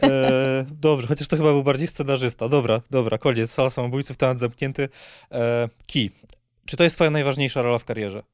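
A man's voice: medium pitch, fairly quick, clean tone, no room sound. A man in his thirties hosts a casual interview, repeating and rephrasing himself, close on a studio microphone. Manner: stumbling, repeats and rephrases questions. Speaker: podcast host, interviewer. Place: small podcast studio, indoors. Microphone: podcast microphone on boom arm, close.

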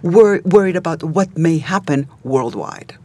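A man working as a conference interpreter, rendering a speech in halting, phrase-by-phrase chunks. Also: a little high, lively intonation, background noise.

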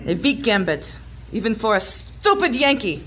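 A woman's voice: on the high side, sing-song, normal speed, fairly bright tone. An actress playing an imperious, coldly scornful female Nazi agent in an audio drama, commanding and formal in speech. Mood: stern, accusing. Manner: haughty, commanding, formal phrasing.

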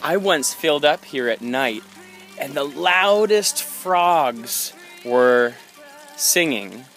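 An enthusiastic young man talks into a phone held at arm's length outside by a seaside spring. His voice sits a little high and he speaks slowly and deliberately. Clear music plays underneath him. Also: expressive, fairly bright tone. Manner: upbeat and enthusiastic. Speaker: meetup organizer. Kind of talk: casual promotional vlog. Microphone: phone camera at arm's length.

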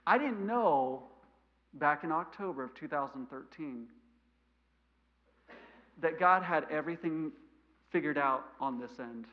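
A man in his thirties with a mild stutter, preaching a sermon in a church sanctuary, animated and gesturing, swinging between loud emphasis and quiet asides. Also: a little high, a little slow, pauses often. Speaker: missionary preacher. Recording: lo-fi church recording.

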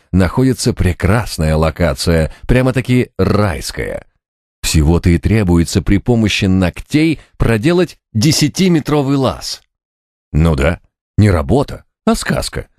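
A man reading fiction as an audiobook narrator, with an expressive, wry, dramatic delivery. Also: sits low, no echo, studio quality. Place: studio, dry and close.

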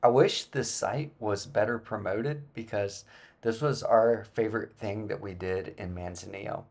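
A man in his forties narrating a travel vlog about a hike, relaxed and explanatory, with frequent filler words. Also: lowish voice, normal speed, vocal fry. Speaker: travel vlogger. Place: indoor room, then voice-over on hike footage.

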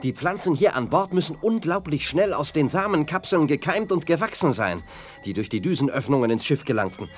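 An actor playing a brave, reassuring young hero in a children's sci-fi radio play, earnest and decisive. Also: male voice, a little high, expressive, brisk, lightly acted. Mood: grim, reasoning.

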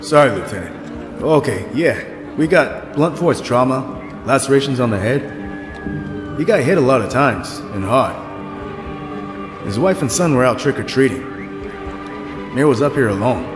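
A deferential police detective acted by a man in an audio drama, informal and clipped. His medium-pitched voice moves around a lot, set in a reverberant hall. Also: expressive, normal speed, long pauses, natural voice. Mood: uneasy, matter-of-fact. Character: deferential, matter-of-fact male police detective at crime scene. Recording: echoey hall reverb, music behind.

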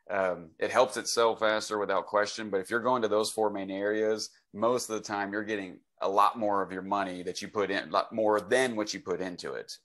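A man in his forties with a fairly low voice hosts a relaxed interview from a home office video call. He speaks conversationally and with enthusiasm, like an agent advising clients. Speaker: host, real estate agent. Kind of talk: casual interview talk. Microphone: computer mic on a video call.

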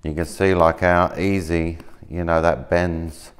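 Low-voiced man demonstrating in a workshop. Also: unhurried, clean tone. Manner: relaxed, rambling, many fillers and restarts. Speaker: maker demonstrating laser-cut materials. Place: workshop, indoors, at a work table.

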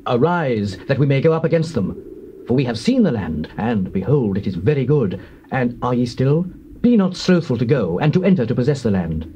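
An actor playing a bold, rousing Israelite scout in a dramatized Bible, declaiming in archaic diction. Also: male voice, mid-range voice, big pitch swings, moderate pace, dark tone, lightly acted. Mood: urging. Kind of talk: dramatized King James Bible acting. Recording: dull, noisy old cassette dramatization.

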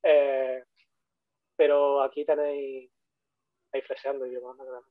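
A man's voice: mid-range voice, level tone, measured pace, no echo. Chatty Spanish man over a tinny call. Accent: Spanish (Spain). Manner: chatty, repeats himself for emphasis. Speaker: guest speaker, 3D modeler. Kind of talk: casual online talk. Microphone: video call. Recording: tinny phone-like call audio.

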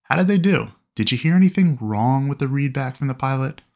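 A man's voice: medium pitch, lively intonation, a little fast, vocal fry, darkish tone, slight background noise. Man narrating a flight lesson. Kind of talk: instructional narration.

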